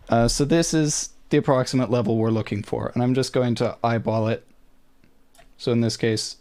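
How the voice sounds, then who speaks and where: A man talks through a casual how-to at a middle pitch. His delivery is informal and matter-of-fact, a little unsure in places. The voice is recorded dry, with no room sound. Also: a little mellow, no room sound. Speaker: tutorial presenter. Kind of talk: casual audio-production tutorial.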